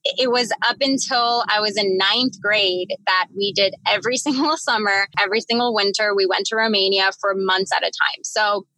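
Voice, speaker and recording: A woman's voice: mid-range voice, moderate pace, bright, forceful tone, rough recording. A woman in her twenties from Miami, telling her story as a podcast guest in a chatty way, with many "like" fillers. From Miami, USA. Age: 20s. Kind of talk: casual storytelling interview.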